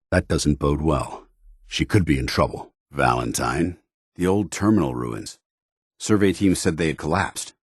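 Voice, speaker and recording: An actor playing a stern, dutiful armored soldier in a video game, speaking in a formal, clipped military manner. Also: male voice, rumbling low, breathy edge, dry recording, studio quality, full character voice. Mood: grave, watchful. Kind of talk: video game companion dialogue acting.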